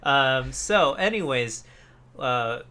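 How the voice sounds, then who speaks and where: A man's voice: medium pitch, animated, takes it slowly, sharp tone. A man co-hosting a casual podcast, talking in a rambling, jokey way into a close desk microphone. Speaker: podcast co-host. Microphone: close USB desk microphone on an arm.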